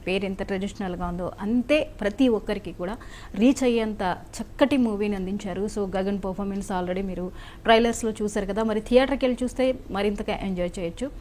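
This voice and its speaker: A woman's voice: medium pitch, fairly quick, a little mellow, a little hiss or hum. A woman with a Telugu accent hosting a film promotion interview. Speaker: interviewer, host.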